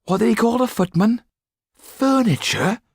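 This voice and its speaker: A man voicing a cheeky, irreverent male servant with a mocking, animated manner. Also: very high-pitched, unhurried, vocal fry, breathy edge, dry recording, studio quality, pitched above the performer's own voice, full character voice.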